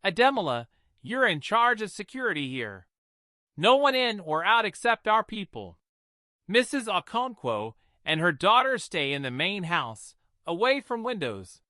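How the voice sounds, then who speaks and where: A commanding senior policewoman, put on by a male narrator, giving clipped, authoritative lines in a folktale. The voice is raised in pitch, forceful and animated. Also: unhurried, no echo, studio quality, full character voice. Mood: firm orders.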